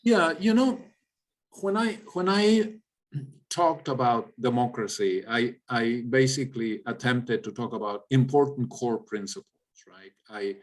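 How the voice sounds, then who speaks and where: Male economist from Latin America, medium pitch, explanatory informal lecture delivery, frequently checks listeners are following. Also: lively intonation, a little slow. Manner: explanatory, often checks in with "right?". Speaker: economist, guest speaker. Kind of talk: informal lecture with audience questions.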